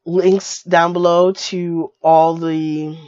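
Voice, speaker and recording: Hoarse woman gushing over a book. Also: fairly low pitch, flat delivery, very slow, a little mellow, no room sound. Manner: gushing, many fillers and repeats. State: hoarse with a cold. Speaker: book reviewer. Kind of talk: casual video book review. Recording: dull lo-fi dry sound.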